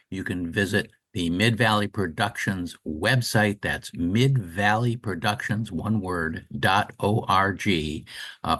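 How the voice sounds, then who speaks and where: A man's voice: on the low side, fairly bright tone. A man hosting a radio show, interviewing guests and reading announcements.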